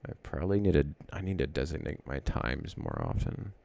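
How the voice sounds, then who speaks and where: A man's voice: very deep voice, huge pitch swings, brisk, creaky, dark tone. A man casually thinking aloud as he walks through trading charts, rambling and correcting himself as he goes. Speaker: trading educator.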